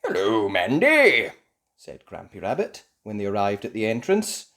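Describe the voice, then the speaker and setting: A woman reading a children's story aloud as an expressive narrator. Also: rumbling low, normal speed, vocal fry.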